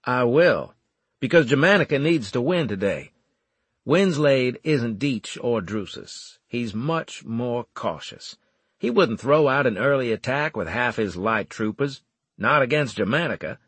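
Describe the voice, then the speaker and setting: A man's voice: mid-range voice, expressive, a little slow, a bit bright, slightly rough, no echo. A man voicing a brash, cocky soldier in an audiobook, blunt and emphatic with his superiors. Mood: assertive. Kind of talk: audiobook character acting.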